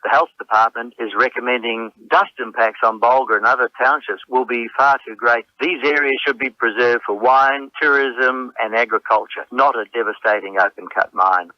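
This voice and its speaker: A man's voice: medium pitch, normal speed, few pauses, no room sound, highs cut off. An Australian man speaking as a community spokesman in a prepared comment for radio news.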